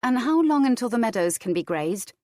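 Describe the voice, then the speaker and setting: A woman voicing a proud young noblewoman who gives cold, sharp commands. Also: a little high, sing-song, brisk, no room sound, studio quality, pitched above the performer's own voice. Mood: brisk.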